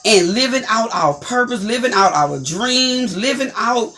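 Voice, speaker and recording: A woman with a deep, distinctive voice hosts an inspirational talk show. Her delivery is preachy and encouraging, and she repeats phrases like a speaker building to a point. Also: expressive, a little slow, bright, forceful tone.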